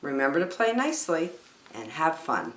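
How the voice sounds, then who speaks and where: A woman's voice: deep voice, sing-song, moderate pace, roomy sound, poor audio. A woman hosting story time, reading a picture book aloud to young children expressively, with chatty asides and questions.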